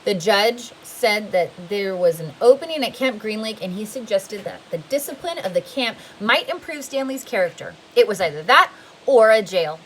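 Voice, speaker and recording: A woman's voice: mid-range voice, fairly bright tone, a little hiss or hum, poor audio. A woman reading a novel aloud in a plain way, sometimes stumbling and restarting a word. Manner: plain, occasionally restarting words. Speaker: reader.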